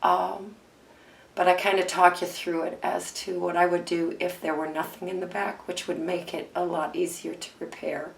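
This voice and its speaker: A hoarse woman with a froggy voice hosting a casual crafting tutorial, chatting and thinking aloud with ums as she works. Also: lowish voice, flat delivery, normal speed. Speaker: craft video host.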